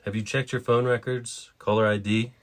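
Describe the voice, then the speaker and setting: A man playing a concerned, sceptical father in a story, probing in a steady way. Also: medium pitch, moderate pace, natural voice. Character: concerned, sceptical father questioning his former partner.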